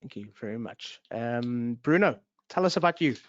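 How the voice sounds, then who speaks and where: A man's voice: mid-range voice, expressive, moderate pace, dry recording. Host: man in his forties, friendly and rambling, filler-heavy delivery; dry, slightly muffled video call sound. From South Africa. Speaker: webinar host, realtor. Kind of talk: online webinar presentation.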